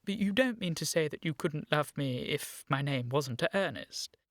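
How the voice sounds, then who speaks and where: A nervous, lovestruck gentleman in his late twenties acted by a man in a radio comedy. He speaks, earnest, hesitant and stammering with fluster. The recording is dry and close. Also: fairly high pitch, lively intonation, normal speed, no echo, lightly acted. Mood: anxious devotion. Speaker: actor in a radio play. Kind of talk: theatrical comedy-of-manners radio acting.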